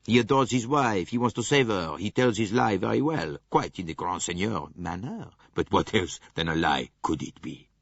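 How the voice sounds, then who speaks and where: A man playing a cordial, confident but easily flustered gentleman in an audiobook, speaking in a hearty, emphatic, blustering way. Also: on the low side, expressive, moderate pace, creaky, few pauses, dry recording, lo-fi sound.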